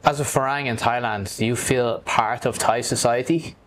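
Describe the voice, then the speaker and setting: A man hosting an interview in a bar, asking short questions and wrapping up with a friendly, brisk sign-off for his channel. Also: medium pitch, fairly even intonation, a bit bright, a little hiss or hum. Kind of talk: casual interview and channel outro. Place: bar and grill.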